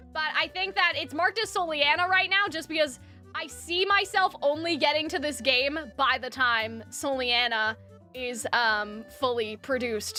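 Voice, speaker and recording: A woman's voice: high-pitched, bright, forceful tone. A young adult woman streamer in casual chat, rambling with fillers and restarts, her voice dry from lack of water.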